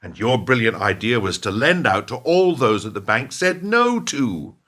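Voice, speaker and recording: A man's voice: medium pitch, big pitch swings, moderate pace, a bit bright. A man acting in a radio play as a cynical, scheming businessman, scornful and unrepentant, with a theatrical, pointed delivery. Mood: scornful, exasperated.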